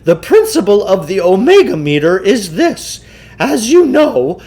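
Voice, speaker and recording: A man reading a children's novel, voicing a pompous, theatrical, eccentric salesman who lectures with deliberate self-importance. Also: high-pitched, darkish tone, heavily acted. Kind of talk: children's novel read aloud in character.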